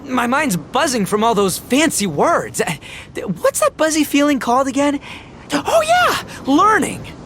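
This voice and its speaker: An actor playing a boisterous, good-hearted young oni gang boss in a video game, slangy, goofy and exclamatory. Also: male voice, very high voice, very animated, measured pace, a bit bright, a little hiss or hum, exaggerated voice. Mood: enthusiastic. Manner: slangy, exclamatory, dim but enthusiastic.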